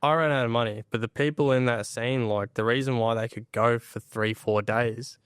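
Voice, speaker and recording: Man, mid-pitched, smooth and clear, candid podcast chat, dry sound. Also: steady tone, normal speed, dry recording.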